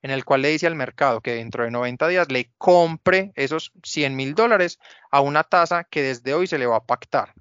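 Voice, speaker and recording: A man's voice: mid-range voice, fairly bright tone, clear, resonant tone, no echo, muffled recording. A man speaking as a guest finance expert, explaining didactically through step-by-step examples. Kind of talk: explanatory interview answer.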